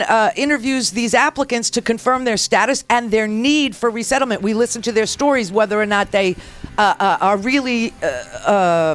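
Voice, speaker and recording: A woman hosting a political talk radio show, lecturing her listeners with brash sarcasm. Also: medium pitch.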